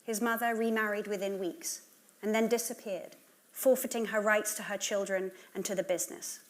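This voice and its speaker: A woman's voice: mid-range voice, fairly even intonation, normal speed. A woman presenter reading a scripted biographical introduction in a composed, articulate manner.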